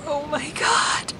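A woman's voice: very high-pitched, heavily acted. An actress playing a distraught, emotionally fragile mother pleading for help in an audio drama. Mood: panicked. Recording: clear outdoor traffic ambience.

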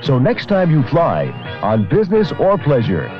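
Male ad voice, upbeat, lively pitch, muffled radio, music underneath. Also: moderate pace. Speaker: radio ad announcer. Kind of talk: 1970s radio commercial. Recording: lo-fi radio broadcast over music.

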